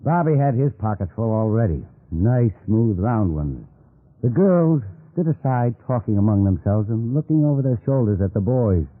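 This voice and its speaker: Storyteller on tinny old radio. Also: male voice, medium pitch, very animated, normal speed. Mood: calm, descriptive. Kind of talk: scripted story narration, old-time radio. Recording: tinny old radio broadcast, faint music.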